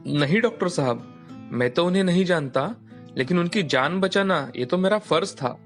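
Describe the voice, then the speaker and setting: Man playing an earnest, high-voiced humble rickshaw driver. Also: lively intonation, moderate pace, soft background music. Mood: humble, respectful. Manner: polite, earnest. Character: honest, humble young rickshaw driver, a devoted father. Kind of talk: dramatized Hindi moral story.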